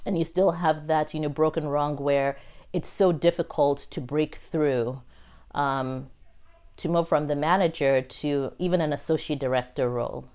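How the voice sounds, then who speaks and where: A woman's voice: sits low, steady tone, moderate pace, a little mellow. A middle-aged woman from Nigeria with a Nigerian accent tinged with American and British, answering interview questions in a thoughtful, earnest way. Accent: Nigerian, with American and British touches. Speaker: pharma executive guest.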